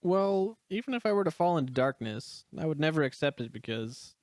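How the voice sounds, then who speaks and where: A man's voice: a little high, sing-song, a little fast, a little mellow, no room sound, clean studio sound. A man playing an earnest, humble, brooding teenage brother in an amateur fan-fiction audio story. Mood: vehement, bitter.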